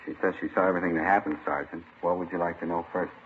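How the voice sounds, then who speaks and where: A plain-spoken young American husband and former soldier, played by a man in vintage radio drama. His voice is very low and his manner folksy and obliging. The sound is muffled and slightly hissy. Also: fairly even intonation, racing, natural voice. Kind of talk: old-time radio drama acting.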